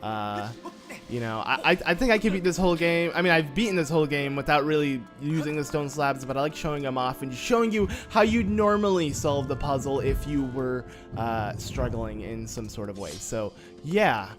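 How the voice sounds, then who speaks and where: A young man with a slightly raspy voice giving casual, joking commentary over a video game he is playing, full of fillers and self-mocking asides. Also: medium pitch, normal speed. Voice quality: slightly raspy from just waking. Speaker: gameplay commentator. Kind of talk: casual let's-play commentary. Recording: game music faint underneath. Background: game music.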